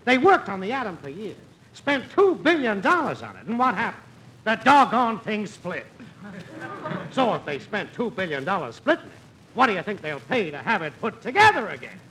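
An actor playing a breezy, smooth-talking schemer in an old-time radio comedy, with a sly, confiding sales manner. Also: male voice, high voice, big pitch swings, normal speed, sharp tone, heavily acted. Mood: boastful, persuasive. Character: Dave, breezy smooth-talking schemer with crackpot money plans. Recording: faintly noisy old radio recording.

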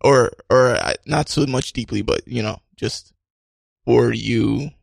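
Guy doing awkward meeting guy who stammers, gravelly husky voice. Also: medium pitch, slow, no room sound, studio quality, heavily acted. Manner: hesitant, stammering. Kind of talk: improvised comedy scene.

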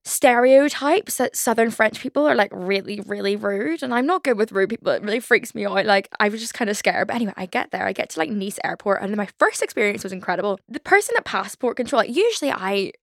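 A woman's voice: medium pitch, animated, quick pace, vocal fry, few pauses, dry recording, studio quality. A young woman in her twenties with a Belfast accent, hosting a casual solo podcast, chatty and rambling with lots of "like" and self-mocking asides. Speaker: podcast host.

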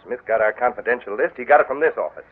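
An agitated lawyer, urgent and accusing. He talks fast in a slightly husky voice with a lively pitch, heard over a thin, tinny old radio broadcast. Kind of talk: 1940s radio acting.

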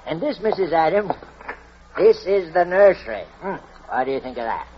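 A jovial, folksy house agent in middle age in an old radio play. His highish voice bounces around genially, over a muffled, slightly noisy vintage recording. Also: very animated, moderate pace. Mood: brisk, genial. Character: jovial, chatty middle-aged house agent and proud father. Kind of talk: 1940s American radio drama acting.

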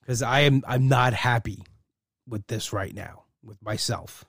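Middle-aged male host, candid rambling monologue, medium pitch, dry close mic. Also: measured pace, fairly bright tone, breathy edge, no room sound. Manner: rambling, candid, many restarts. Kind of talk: casual personal monologue.

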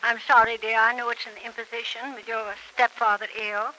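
Sharp-voiced, distressed lady on the phone. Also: on the high side, little pitch movement, fairly quick. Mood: anxious, polite. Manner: apologetic, urgent, polite. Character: distressed, genteel older married woman, mother of grown son. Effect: telephone filter. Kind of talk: 1940s-50s radio soap acting. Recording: tinny phone line, old noisy radio recording.

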